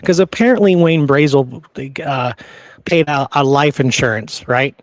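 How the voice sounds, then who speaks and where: A man's voice: on the high side, measured pace, no room sound. A man hosting a history podcast in a conversational way, earnest and repeating phrases for emphasis, close on the microphone. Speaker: podcast host.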